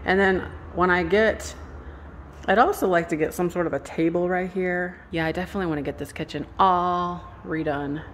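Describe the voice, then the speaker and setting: A woman with a slightly low voice talks in a casual vlog style. She thinks aloud in an informal, exclamatory way. The handheld recording carries clear ambient noise behind her. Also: fairly even intonation, normal speed. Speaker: vlogger. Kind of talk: casual vlog chat to camera. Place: indoors, empty house rooms and a supermarket. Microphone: handheld phone or camera, held out. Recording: clear background noise, handheld camera audio.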